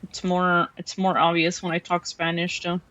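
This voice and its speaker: A woman's voice: on the low side, completely flat delivery, normal speed, fairly bright tone, no echo. Chatty Mexican-accented woman streamer. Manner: chatty, answers chat while playing, restarts sentences. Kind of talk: casual gaming stream chat. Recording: dull lo-fi stream audio.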